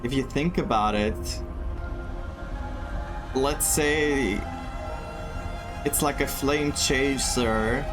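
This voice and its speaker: A man playing through a game story and thinking aloud, excited and chatty, in a room indoors. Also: medium pitch, extremely slow, pauses often. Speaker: gaming streamer. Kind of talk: let's-play commentary. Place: indoor room with air conditioning. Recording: voice over game music.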